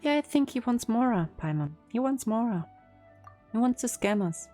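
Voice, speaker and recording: A woman's voice: on the high side, animated, normal speed, music in the background. A woman streamer giving casual gameplay reactions, informal and joking as she thinks aloud. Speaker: game streamer.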